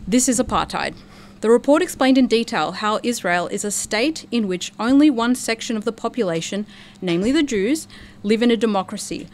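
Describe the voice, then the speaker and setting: A woman's voice: mid-range voice, darkish tone. Woman chairing a forum. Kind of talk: read speech and announcements. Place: lecture room at a public forum.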